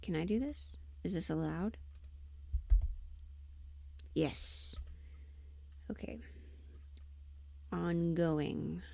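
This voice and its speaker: A woman's voice: fairly low pitch, drawn-out words, gravelly, long pauses, a little mellow, dry recording. A woman livestreamer thinking aloud casually as she works at her computer, absent-minded and often trailing off. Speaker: livestreamer and audio performer. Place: indoors at a computer, close dry sound. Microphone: desk microphone, sometimes spoken off-axis.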